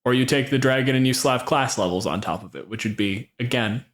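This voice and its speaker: A man's voice: medium pitch, brisk. A man hosting a podcast, chatting casually and with opinions, swearing freely as he makes his points. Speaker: podcast host.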